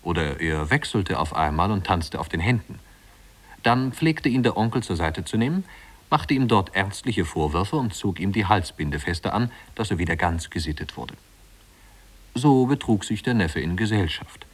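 A man narrating a literary tale in a 1950s radio drama, in a measured, wry storytelling manner. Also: deep voice, lively intonation.

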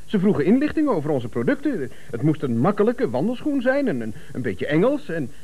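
An actor playing a hesitant, deferential and quietly cheeky export clerk in a 1970s radio comedy. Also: male voice, a little high, wildly swooping pitch, brisk. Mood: explaining, sheepish. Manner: hesitant, obsequious to the boss.

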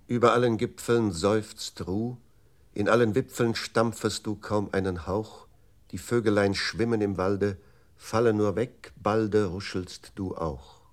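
An actor playing a computer memory unit in a German radio play, reciting formally in an emphatic, declamatory manner. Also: male voice, on the low side, fairly even intonation, a little slow, natural voice. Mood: solemn recital. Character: male computer memory unit, pointedly reciting processed verse.

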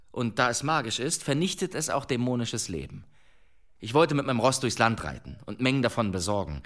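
An actor playing a proud, wounded demon hunter in a German historical radio drama, with a defiant, grandiloquent way of talking. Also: male voice, mid-range voice, fairly bright tone.

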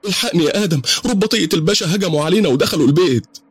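A man voicing a bold, sharp-tongued young woman in a storybook tale, defiant and indignant in Egyptian Arabic. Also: on the low side, expressive, fast talker, husky, pitched above the performer's own voice. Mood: alarmed.

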